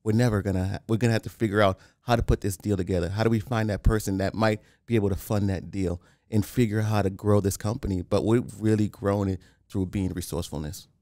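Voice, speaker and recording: Very deep-voiced, calm interview guest man. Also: fast talker, few pauses, dry recording, studio quality. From Haiti. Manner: calm, plain-spoken, restarts often. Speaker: guest, real estate developer. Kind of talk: casual interview.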